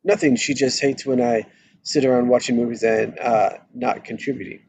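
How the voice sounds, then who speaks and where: A man's voice: medium pitch, steady tone, normal speed, gravelly, darkish tone, slight background noise, natural voice. A man playing a laid-back, sardonic husband in a casual, wry way at a script table read. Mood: flustered. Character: laid-back, sardonic married man, expectant adoptive father.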